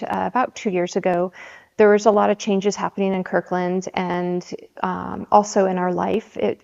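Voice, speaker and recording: Woman in her 40s from the Seattle area, city council candidate, measured and hesitant, muffled sound with a long reverb tail. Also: mid-range voice, gravelly, darkish tone. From Seattle area, USA. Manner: measured, with frequent ums and restarts. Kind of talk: candidate interview answers. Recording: dull audio with long hall reverb.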